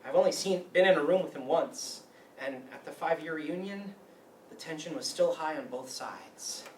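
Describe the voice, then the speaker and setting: A man's voice: on the high side, normal speed, natural voice. A young man acting a wounded, anxious, brooding young man in a live staged play reading. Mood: brooding, reflective. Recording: roomy, distant mic with faint noise.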